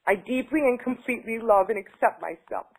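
A woman's voice: fairly high pitch, fairly quick, breathy edge. Woman echoing affirmations back. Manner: repeats phrases, hesitant with many fillers when talking freely. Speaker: client in a tapping session. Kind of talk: repeating affirmations, then casual talk.

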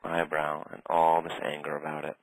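A man guiding a tapping session in a calm, steady way, naming tapping points and saying short phrases for the other person to repeat. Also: rumbling low, dry recording, poor audio. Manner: calm, slow, leads phrases for repetition. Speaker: tapping practitioner.

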